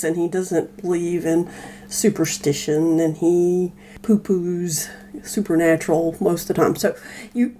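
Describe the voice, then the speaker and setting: Female podcast guest, relaxed and lowish, hesitant informal chat. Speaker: guest co-host. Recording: noticeable background noise.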